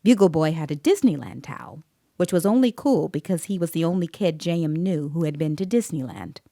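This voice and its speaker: An actress playing a composed, observant female interviewer, narrating an audio drama in a measured, precise way. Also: low-pitched, lively intonation, dark tone, no echo, clean studio sound. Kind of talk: scripted first-person dramatic narration.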